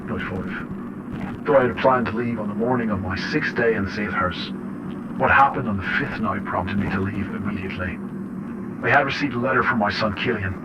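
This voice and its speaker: A dull recording with clear background noise carries a man acting as an unsettled father keeping an eerie audio journal. His voice is fairly low, and he narrates his horror story in deliberate, halting, reflective phrases. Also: lightly acted. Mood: uneasy, confiding. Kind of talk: first-person horror audio diary. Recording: muffled, noisy radio-like audio log.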